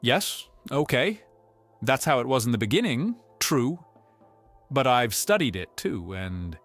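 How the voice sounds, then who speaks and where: A man voicing a defensive, obsessive investigator of missing persons in an audiobook drama, halting and insistent. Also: medium pitch, sing-song, a bit bright, soft background music, voice pushed up.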